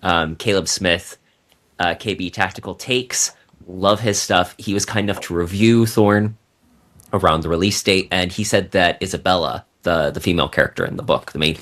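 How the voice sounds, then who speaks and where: A man talking casually as a guest novelist on a podcast, telling stories in an animated way. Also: deep voice, moderate pace.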